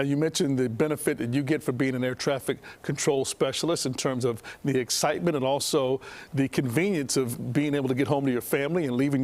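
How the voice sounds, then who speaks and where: An American man working as a news anchor, reading and interviewing in broadcast style. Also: mid-range voice.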